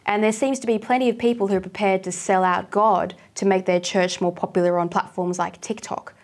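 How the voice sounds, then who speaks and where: A woman's voice: medium pitch, fairly even intonation, fairly quick. Woman host asking pointed questions. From Australia. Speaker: show host. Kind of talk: interview questions.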